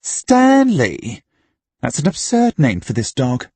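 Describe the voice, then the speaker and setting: A man acting out a flamboyant village pub regular in an audiobook. He is theatrical and opinionated, with high, swooping pitch and affected, drawn-out emphasis. The recording is close and dry. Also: wildly swooping pitch, mellow, breathy edge, no room sound, exaggerated voice. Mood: exasperated.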